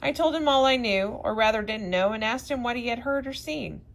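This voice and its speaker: A woman's voice: on the high side, fairly quick, gravelly. A woman narrating a classic novel aloud expressively, now and then stumbling over a word. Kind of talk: read-aloud storybook narration.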